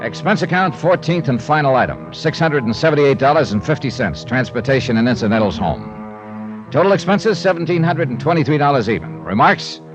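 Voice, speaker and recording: An actor playing a tough, wry, confident investigator with an American accent, punchy and sardonic in 1950s radio style. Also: male voice, medium pitch, expressive, a little fast, lightly acted. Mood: dry, wry. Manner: punchy, hard-boiled, sardonic. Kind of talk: 1950s radio acting and first-person narration. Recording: dull old radio recording with music.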